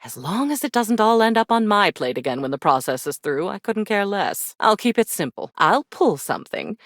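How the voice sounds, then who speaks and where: A woman's voice: mid-range voice, sing-song, normal speed, fairly bright tone, no echo. A woman voicing a haughty female spirit in a video game, speaking in an arch, theatrical way with dry sarcasm and condescending endearments. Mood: brisk, commanding. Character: haughty, sardonic female attic spirit of forgotten keepsakes. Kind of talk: scripted video game character acting.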